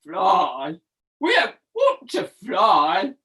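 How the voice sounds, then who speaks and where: A woman storyteller voicing a group of turtles who dismiss an idea in a lower, scornful tone. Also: huge pitch swings, extremely slow, sharp tone, heavily acted.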